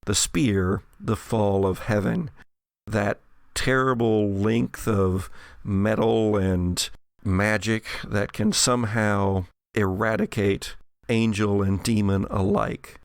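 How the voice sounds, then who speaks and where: A man's voice: fairly low pitch, gravelly, dry recording, studio quality. A man acting as game master, narrating a tabletop horror role-playing game.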